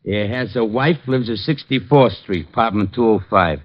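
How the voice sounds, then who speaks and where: An actor playing a dim, hesitant, eager police sergeant in an old radio detective drama. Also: male voice, on the low side, close to an everyday voice. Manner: hesitant, oafish, slow on the uptake. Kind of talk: 1940s-50s radio comic drama acting. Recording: muffled old-time radio recording.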